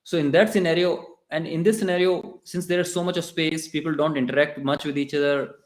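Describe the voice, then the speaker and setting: A man with an Indian English accent giving a conversational devotional lecture in a temple, pausing and restarting often. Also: fairly high pitch, steady tone. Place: temple hall. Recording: echoey temple room.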